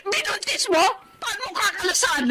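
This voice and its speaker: High-voiced, long-suffering wife. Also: female voice, big pitch swings, moderate pace, very noisy. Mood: anguished. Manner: emotional, reproachful. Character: wounded, long-suffering betrayed wife with hurt dignity. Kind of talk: Filipino radio drama acting.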